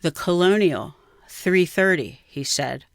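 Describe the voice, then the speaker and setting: A woman's voice: lowish voice, expressive, slow pace, vocal fry, no echo, clean studio sound. A woman reading her own personal story as scripted narration. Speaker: writer reading own memoir.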